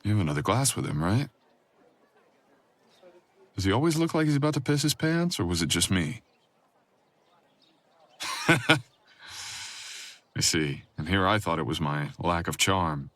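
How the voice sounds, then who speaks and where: Man as a low-voiced, teasing, wicked boyfriend. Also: animated, fairly bright tone. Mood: mocking, amused.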